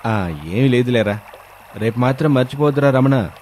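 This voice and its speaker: A man's voice: medium pitch, moderate pace, darkish tone, a little hiss or hum, close to an everyday voice. A man playing a brooding, evasive younger son of a rich villager in a storybook tale. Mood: instructing.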